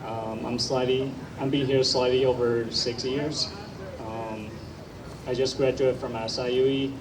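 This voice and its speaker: A man's voice: medium pitch, little pitch movement, a little slow, crowd noise. A young man from Taiwan speaking unprepared, hesitant remarks as a new citizen. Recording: distant mic, room noise.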